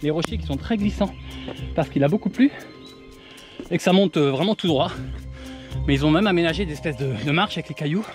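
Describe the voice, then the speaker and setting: A man's voice: fairly high pitch, fairly quick, pauses often, over music. Upbeat man vlogging on a jungle trail. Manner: upbeat, talking while hiking uphill. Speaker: travel vlogger. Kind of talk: selfie-style travel vlog. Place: outdoors on a jungle mountain trail. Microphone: camera held at arm's length.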